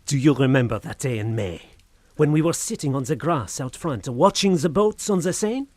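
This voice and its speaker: Horror radio play lead: tormented man male actor, husky, highly animated, theatrically intense and obsessive. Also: no room sound, natural voice. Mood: feverish conviction. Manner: intense, theatrical, halting then feverish. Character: tormented, obsessive Frenchman haunted by an invisible being. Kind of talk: audio horror drama acting.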